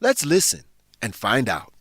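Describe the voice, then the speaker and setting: Male story host: presentational scripted narration for learners, mid-pitched with lively swings. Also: unhurried, background noise. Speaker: narrator of a radio drama.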